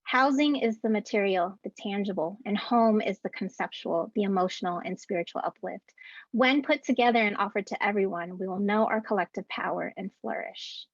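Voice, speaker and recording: A woman in her thirties, an educator, giving a prepared ceremony speech from home over a video call in an earnest, deliberate way, reading out rhythmic lists. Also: mid-range voice, no room sound. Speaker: keynote speaker and educator. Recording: dull, dry video-call audio.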